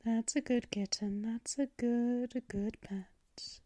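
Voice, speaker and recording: A woman playing a doting vampire mistress, whispery and very slow. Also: on the high side, fairly even intonation, background noise. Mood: soothing. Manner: soft and soothing, drifting into whispers and shushing. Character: gentle female vampire mistress, doting and possessive. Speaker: ASMR performer. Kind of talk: ASMR roleplay.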